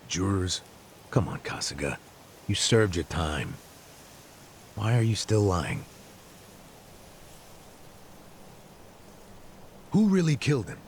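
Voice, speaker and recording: An actor playing an aging detective near retirement, sly and persistent, with a gravelly, teasing manner. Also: male voice, sits low, a little hiss or hum, exaggerated voice. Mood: prying. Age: older. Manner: teasing, chuckling, prying. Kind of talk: dubbed game cutscene acting.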